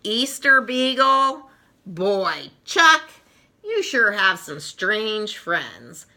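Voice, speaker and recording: A bossy young tomboy from a children's story, acted out by a woman reader. She is blunt and loud, with a very bright, swooping delivery full of exasperation. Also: mid-range voice, sing-song, very slow, heavily acted. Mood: skeptical.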